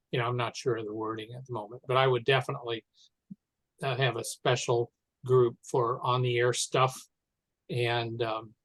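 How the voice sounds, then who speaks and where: A man making suggestions in an online meeting in a deliberate, halting way. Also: mid-range voice, fairly bright tone, no echo, poor audio. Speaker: meeting participant. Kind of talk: informal meeting discussion. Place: online conference call.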